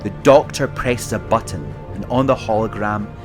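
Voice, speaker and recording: Low-voiced male story narrator. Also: normal speed, over music. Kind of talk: scripted audio drama narration.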